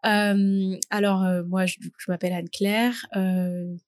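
A woman's voice: mid-range voice, level tone, a little slow, darkish tone, no room sound. Hesitant young woman, dry close sound. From French Guiana. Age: 20s. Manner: hesitant, many "euh" and "du coup", laughs easily. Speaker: podcast guest. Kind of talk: casual interview talk.

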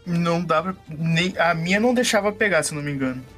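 A woman's voice: lowish voice, animated, quick pace, a bit bright, faint music behind. A woman with a Brazilian accent playing a tabletop game, chatting casually and with plenty of slang.

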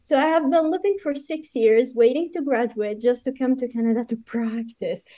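A woman from Iraq, a pharmacist, telling her story as a guest in an interview with lively asides and many fillers. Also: fairly high pitch, moderate pace. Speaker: guest, pharmacist running licensure courses. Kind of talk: conversational interview.

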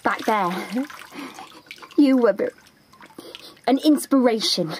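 A woman's voice: a little high, expressive, takes it slowly, a little hiss or hum. A woman playing an eager, naive, starstruck budgie in an audio drama, gushing and wide-eyed. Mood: shyly admiring. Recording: music behind the voice.

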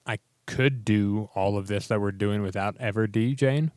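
A hoarse man co-hosting a podcast, chatting casually in a laid-back, joking way. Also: lowish voice, normal speed, a little mellow, no echo. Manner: laid-back, joking, trails off mid-sentence. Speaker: podcast co-host. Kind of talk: casual chat.

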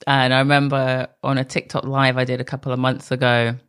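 A woman's voice: very deep voice, completely flat delivery, a little fast, fairly bright tone, clear, resonant tone. A woman hosting her own podcast, talking alone in a candid, conversational way that sometimes drifts off track. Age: adult. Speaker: podcast host. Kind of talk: solo podcast monologue.

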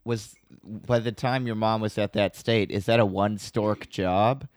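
A man's voice: lowish voice, steady tone, a little slow, no echo. A man chatting casually on a podcast, making wry jokes. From Minnesota. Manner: joking, wry asides. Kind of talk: casual chat.

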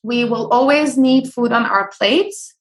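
A young woman from Syria, a food blogger, giving earnest advice in a relaxed interview with frequent ums and restarts. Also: a little high, unhurried. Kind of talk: conversational interview.

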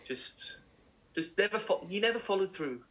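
A man co-hosting a casual show, animated and theatrical, laughing easily, heard over a video call. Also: high-pitched, moderate pace, dull audio. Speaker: co-host. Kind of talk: casual chat.

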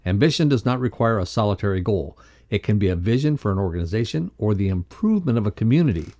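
Guy with a soft-edged mellow voice, kinda low, reading out motivational teaching, measured. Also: lively intonation. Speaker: co-presenter reading a leadership lesson. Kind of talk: scripted read-aloud, motivational podcast.

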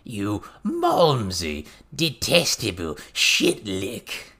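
Crude little goblin tutor for an audiobook, male narrator acting, excitable, theatrical, swinging widely in pitch. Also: extremely slow, gravelly, heavily acted. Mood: disgusted, bellowing.

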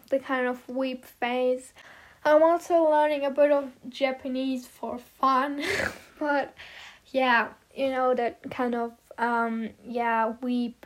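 A fifteen-year-old girl whose first language is German speaks in a high voice, taking her time. She rambles through a casual chat about herself, full of fillers and little giggles. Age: teens. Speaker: vlogger answering questions about herself.

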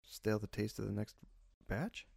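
Creaky-voiced man hosting a joking chat. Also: fairly low pitch, moderate pace, darkish tone, dry recording, clean studio sound. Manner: laughs often, joking and loose. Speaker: podcast host. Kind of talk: casual chat over beers.

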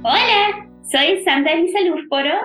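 A woman's voice: extremely high pitch, loud music behind the voice. Chilean-accented woman in an echoey room. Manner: thoughtful, earnest, pauses to search for words. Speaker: podcast co-host. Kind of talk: casual podcast talk. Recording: roomy echoing room.

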